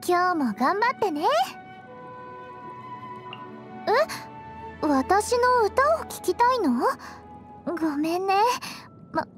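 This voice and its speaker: Very high-pitched, sweet idol girl. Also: big pitch swings, slow pace, full character voice. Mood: gentle, bright. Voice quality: girlish, sweet. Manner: earnest, polite, gently encouraging. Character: cheerful, sweet teenage girl idol healer. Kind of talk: anime game character voice lines. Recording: studio voice over game music.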